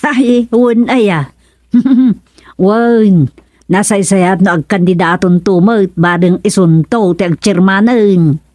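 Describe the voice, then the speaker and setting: A woman's voice: medium pitch, slow, darkish tone, dry recording, clean studio sound, natural voice. Chatty Ilocano village secretary, acted by a woman. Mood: curious, chatty. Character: opinionated female village secretary, chatty and disapproving. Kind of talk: Ilocano radio comedy drama acting.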